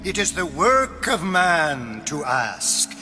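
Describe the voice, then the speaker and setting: A sadistic, theatrical impresario from a video game. He declaims slowly in a high, lilting voice that leaps into sudden outbursts, a grandiose and unhinged man. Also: very animated, over music. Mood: sly, menacing. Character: flamboyant, unhinged, sadistic male artist and impresario. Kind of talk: dramatic video game acting.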